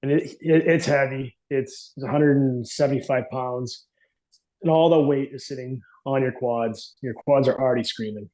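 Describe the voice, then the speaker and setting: A man's voice: mid-range voice, warm tone, poor audio. A man as an interview guest, describing physical effort casually in short, plain, emphatic phrases. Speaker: guest, competitive firefighter athlete. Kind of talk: casual first-person account in conversation.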